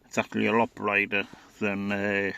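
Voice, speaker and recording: Low-pitched man giving a casual radio demo. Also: completely flat delivery, normal speed, gravelly, pushed and bright, smooth. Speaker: radio enthusiast presenting equipment. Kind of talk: informal product demonstration.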